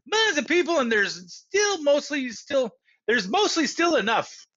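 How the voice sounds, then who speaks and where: A man's voice: very high voice, very animated, a little slow, bright, forceful tone, dull audio, pitched above the performer's own voice, full character voice. A man voicing a whiny boy who complains in a storybook reading.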